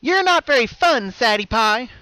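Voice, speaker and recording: Man putting on a loud, shrill, manic pony girl. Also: expressive, moderate pace, clear, resonant tone, no echo, heavily acted. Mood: huffy, impatient. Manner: bouncy, huffy, emphatic. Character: manic, menacing pony doppelganger girl, bubbly and impatient.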